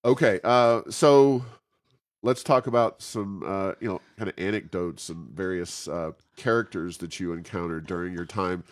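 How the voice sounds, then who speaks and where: Deep-voiced American man, hesitant interviewer. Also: moderate pace. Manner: hesitant, meandering questions full of ums. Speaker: interview host. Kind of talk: casual conversational interviewing.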